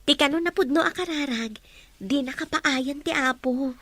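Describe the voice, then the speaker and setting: Woman acting a gentle young daughter, high voice. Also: normal speed, no echo. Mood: respectful. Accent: Filipino, Ilocano. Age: young adult. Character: gentle, respectful young daughter, quietly hurt. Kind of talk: radio-drama dialogue.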